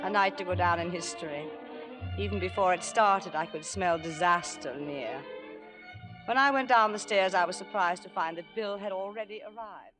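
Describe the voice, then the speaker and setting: A woman playing a jealous, sharp-tongued middle-aged stage actress, theatrical and sarcastic, in an old-time radio drama. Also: fairly low pitch, moderate pace, music in the background, lightly acted, poor audio. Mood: wry narration. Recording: vintage 1940s-50s radio broadcast.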